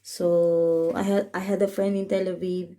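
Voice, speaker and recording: Slow, halting Filipino woman vlogging. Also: fairly low pitch, little pitch movement, dark tone. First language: Tagalog. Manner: halting, many fillers and restarts, simple explanatory English. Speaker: caregiver and vlogger. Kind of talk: casual update vlog. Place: home in northern Israel.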